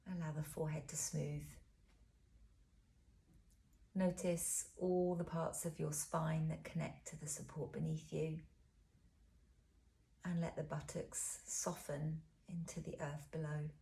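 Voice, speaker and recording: A woman with a low-pitched voice guides a relaxation practice. Her tone is dark and relaxed, with a creaky fry to it. She gives gentle, unhurried instructions, and her phrases often trail off softly and breathily. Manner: soothing, unhurried, gentle instruction with soft pauses. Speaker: relaxation and yoga teacher. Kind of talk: guided body scan meditation.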